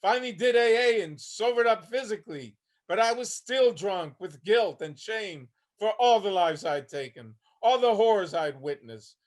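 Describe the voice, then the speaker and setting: A man's voice: high-pitched, expressive, moderate pace. A man acting a haunted, homeless older Vietnam veteran, weary and bitter, telling his story plainly in a staged play reading. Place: online video call reading.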